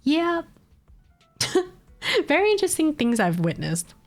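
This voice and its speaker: A woman's voice: sits high, big pitch swings, a little slow, faint music behind. A woman streamer chatting casually late at night, a little nasal from a cold, rambling and laughing often. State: recovering from a cold, slightly nasal.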